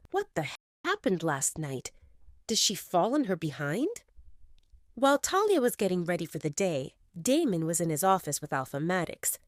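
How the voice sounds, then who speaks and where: A woman narrating a romance audio story in an expressive, scripted way. Her voice sits on the low side yet moves widely in pitch. The recording is close and very dry. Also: dry recording. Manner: expressive, swinging in tone.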